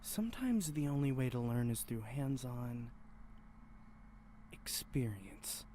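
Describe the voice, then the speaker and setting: A man's voice: mid-range voice, big pitch swings, slow pace, warm tone. A man playing an obsessive, cold and irritable killer in a visual novel, speaking intently and often slipping into whispers. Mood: fascinated, intent. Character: obsessive male killer, studiously copying another murderer's methods, cold and irritable. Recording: music playing behind the voice. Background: music.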